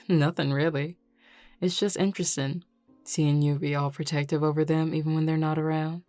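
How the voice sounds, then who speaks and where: A woman playing a flirty, teasing male werewolf with a Southern US accent and a playful, unhurried drawl in an audio roleplay. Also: sits low, flat delivery, a little mellow, no room sound, faint music behind, lightly acted.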